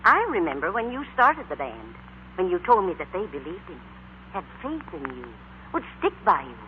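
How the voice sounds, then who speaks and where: An American woman playing a principled middle-aged mother in a 1940s radio drama, tender and gently moralizing. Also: fairly low pitch, huge pitch swings, normal speed. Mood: gently reproachful. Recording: tinny, noisy 1940s radio recording.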